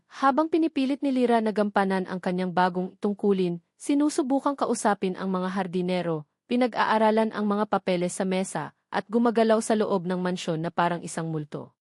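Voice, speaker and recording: A Filipino-accented woman reads fiction as a storyteller. Her delivery is scripted and dramatic, at a middling pitch, and the recording is dry and close. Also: a little mellow, no room sound. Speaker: story narrator.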